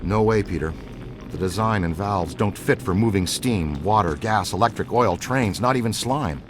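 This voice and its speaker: An actor playing an earnest, excitable middle-aged paranormal investigator in a video game, delivering jargon with boyish zeal. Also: male voice, on the low side, normal speed, faint music behind, natural voice. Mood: puzzled, awed.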